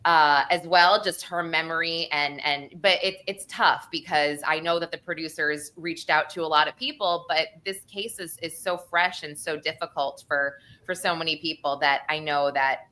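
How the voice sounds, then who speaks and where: American female true crime co-host, low voice with a bright edge, chatty and informal. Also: fairly even intonation, normal speed, few pauses. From Northeastern United States. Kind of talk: casual true crime discussion.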